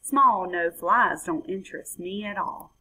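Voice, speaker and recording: A woman's voice: fairly low pitch, measured pace, pushed and bright, husky, full character voice, low-quality recording. A woman voicing a plain-spoken, unimpressed rainforest animal in a children's storybook. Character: matter-of-fact rainforest animal listing its diet.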